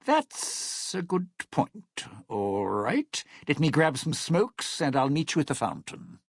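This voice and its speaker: A man's voice: fairly high pitch, sing-song, slow, creaky, breathy edge, no room sound, studio quality, full character voice. A man playing a slick, cynical lounge singer turned scheming survivor in a video game, with smug, theatrical showbiz patter and an edge of menace. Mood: calculating, threatening.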